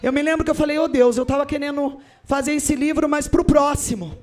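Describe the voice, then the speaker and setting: A woman, giving an emphatic, often shouting testimony and offering appeal on a handheld mic in a large church hall. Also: high voice, steady tone, a little fast. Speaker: preacher and author. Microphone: handheld microphone.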